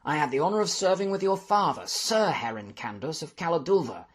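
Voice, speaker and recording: A man's voice: a little high, lively intonation, voice pushed up. Man playing a grave, formal army general. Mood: courteous, brisk. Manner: measured, formal. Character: grave, keen, courteous male army general.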